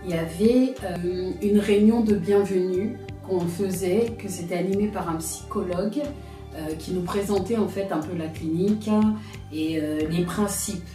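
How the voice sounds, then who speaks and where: Woman, 30s, interview guest, reflective, hesitant, lowish voice, echoey distant recording. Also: normal speed, music in the background. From France. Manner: reflective, hesitant, searching for words. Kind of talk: personal testimony in an interview. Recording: roomy, from a distance.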